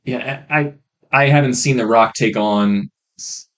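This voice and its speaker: A man co-hosting a podcast in casual, opinionated chat, halting as he talks, on a rough, faulty microphone. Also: medium pitch, a bit bright. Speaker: podcast co-host.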